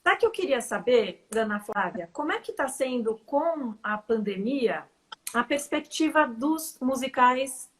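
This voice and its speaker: A woman's voice: sits high, expressive, measured pace, a bit bright, a little hiss or hum. A woman co-hosting a live online interview, asking questions conversationally over a video call. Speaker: co-host.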